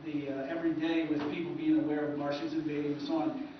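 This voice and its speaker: A man giving an informal history talk at a convention, conversational and halting, recorded from far off in an echoey room. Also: a little high, flat delivery, lo-fi sound, slight background noise. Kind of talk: informal lecture.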